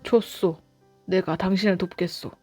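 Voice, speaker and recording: A woman's voice: lowish voice, steady tone, moderate pace, mellow, soft background music, close to an everyday voice. A woman voicing a brave village hero man in a storybook, speaking in a firm, solemn manner. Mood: resolute.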